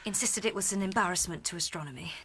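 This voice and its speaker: An actress playing a gentle, concerned, well-bred English woman in a radio drama, polite and earnest. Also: lowish voice, quick pace, breathy edge. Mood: worried.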